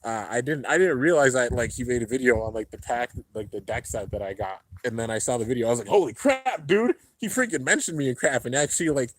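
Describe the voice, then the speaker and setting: A man's voice: fast, few pauses, no room sound, rough recording. Young man, laid-back livestream host, rambling fillers, a bit congested, dry room. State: a little congested. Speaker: YouTube host reading live chat. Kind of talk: casual livestream chat. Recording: low-end home stream setup.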